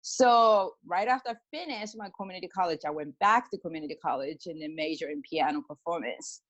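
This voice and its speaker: A woman's voice: on the low side, fairly quick, fairly bright tone, lo-fi sound. A middle-aged woman from Japan with a Japanese accent, telling personal stories casually and informally as an interview guest. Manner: informal, peppers talk with "like" and acts out quoted exchanges. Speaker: guest, pianist and energy healer.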